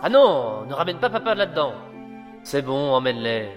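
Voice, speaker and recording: A man plays a gruff police officer in a period audio drama. He speaks in a mid-range voice, curt and commanding, with long reverb and music underneath. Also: mid-range voice, sing-song, normal speed, natural voice. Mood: exasperated, stern. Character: gruff, dutiful police officer, exasperated younger brother. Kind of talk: French audio drama acting.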